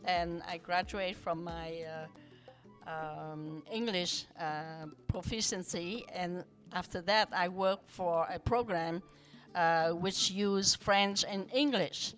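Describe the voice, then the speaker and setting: A woman's voice: lowish voice, takes it slowly, fairly bright tone, soft background music. A woman in her seventies, a native Vietnamese speaker, talking as a podcast guest in hesitant English full of fillers. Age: 70s. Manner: hesitant, many fillers, non-native grammar. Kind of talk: casual interview chat.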